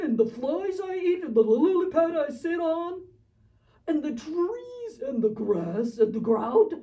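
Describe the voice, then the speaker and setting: Woman as a slow-talking, high-voiced naive little frog puppet. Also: recorded from a distance, dull audio, heavily acted. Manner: childlike, wide-eyed, exclaiming. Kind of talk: children's church puppet show.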